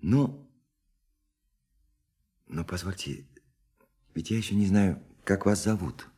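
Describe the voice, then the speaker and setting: An actor in a Russian radio play playing a shy, lonely dreamer, hesitant and earnest, with a soft husky voice. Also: male voice, low voice, full character voice. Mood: tender, hesitant. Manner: hesitant, halting, flustered, earnest.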